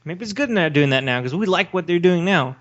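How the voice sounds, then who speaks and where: A man's voice: high-pitched, animated, a little fast, a bit bright. A man co-hosting a casual podcast, talking in a challenging, argumentative debate style. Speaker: podcast co-host. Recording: slightly dull, lo-fi audio.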